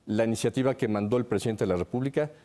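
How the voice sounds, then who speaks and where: A man's voice: medium pitch, rapid-fire, warm tone. A man with a Mexican accent giving methodical analytical commentary as a security analyst on a television panel. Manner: methodical, enumerates his points.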